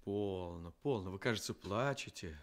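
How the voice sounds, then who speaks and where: An actor playing a curious, fussy, polite gentleman who startles easily, in a theatrical radio play. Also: deep voice, moderate pace, close to an everyday voice. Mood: sympathetic. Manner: courteous, fussy, animated.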